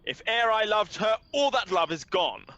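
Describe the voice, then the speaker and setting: A man's voice: sits high, sing-song, moderate pace, sharp tone, no room sound, dull audio, heavily acted. A man playing a besotted young lover, effusive and swooning in Shakespearean radio verse. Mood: haughty. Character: besotted young male lover, extravagant wooer.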